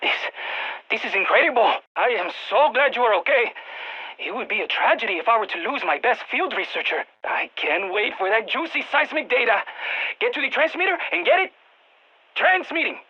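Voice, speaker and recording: A woman voicing an eager, geeky field scientist in a video game, with a Latin American Spanish accent, talking over radio comms in an expressive, quirky way. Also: on the high side, brisk, husky, lo-fi sound, full character voice. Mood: excited. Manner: expressive, quirky, nerdy enthusiasm. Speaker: mission guide scientist.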